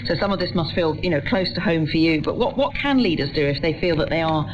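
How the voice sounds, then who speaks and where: A woman's voice: low-pitched, expressive, fast. A British woman presenting a radio interview programme in a conversational, inquisitive way. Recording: dull radio recording, loud music.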